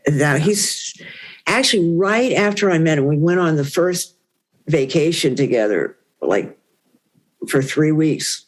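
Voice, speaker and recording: A woman's voice: sits low, lively intonation, a little slow. Woman reminiscing with many "you know"s. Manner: warm rambling recollection with many "you know"s. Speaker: interview guest. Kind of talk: reminiscing conversation.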